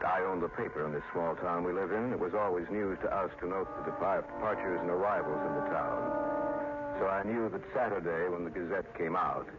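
An actor playing a sober, uneasy small-town newspaper owner, narrating an old-time radio drama in a measured, grave way. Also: male voice, low voice, lightly acted. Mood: grave, ominous. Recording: muffled old radio recording under loud music.

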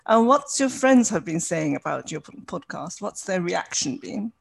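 Gravelly-voiced probing interviewer. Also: mid-range voice, sing-song, normal speed. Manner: probing, presses with follow-up questions. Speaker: podcast host. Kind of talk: interview questioning.

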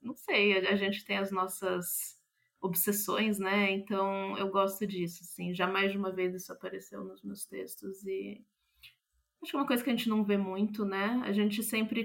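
A woman's voice: medium pitch, creaky. A Brazilian woman speaking as an interviewed playwright, reflective and thoughtful, often searching for the right word.

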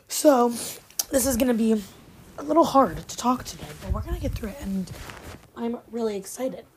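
A young woman in her twenties hosting a podcast, chatty and a bit sarcastic, her voice raspy from having just woken up. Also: fairly high pitch, normal speed, a little mellow, slight background noise, rough recording. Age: 20s. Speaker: podcast host. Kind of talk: casual chat. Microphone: filmed podcast setup.

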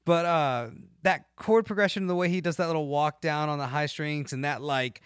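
A man's voice: fairly high pitch, moderate pace, clear, resonant tone, no room sound. A man hosting a podcast, chatting casually about music and often searching for his words. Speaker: podcast host. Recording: dry, music playing behind.

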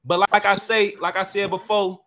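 A man hosting a relaxed group chat, with a bright, forward voice that sits a bit high. He talks in a loose, teasing, slangy way and. A faint hiss runs under him. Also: normal speed. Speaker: podcast host. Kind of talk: casual hangout chat.

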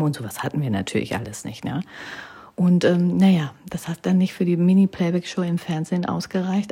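A woman's voice: sits low, dark tone, husky. A woman in her thirties telling personal stories in a casual chat, often tagging sentences with a questioning "ne?" and dropping into whispers. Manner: chatty, keeps adding "ne?", drops to whispers. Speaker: vlogger.

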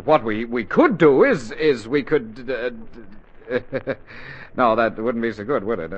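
Flustered, sputtering American dad. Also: male voice, mid-range voice, lively intonation, takes it slowly, a little hiss or hum. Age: middle-aged. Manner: stammering, sputtering, repeats words. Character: flustered, excitable middle-aged father, easily exasperated. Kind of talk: 1940s radio comedy acting. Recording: dull, lo-fi 1940s radio recording.

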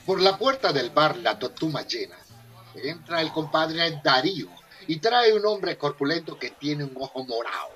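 A man's voice: fairly high pitch, very animated, moderate pace, a bit bright, faint music behind. A man narrating a humorous radio soap as a theatrical storyteller. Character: theatrical storyteller of a comic 1950s tale.

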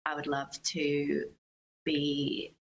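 A woman's voice: low voice, monotone, very slow, lo-fi sound, slight background noise. A young woman answering interview questions as a singer-songwriter, speaking conversationally in a reflective, earnest way. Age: young adult.

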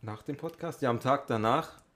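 A man's voice: mid-range voice, moderate pace, a bit bright. A man chatting casually as the co-host of a podcast. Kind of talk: casual chat.

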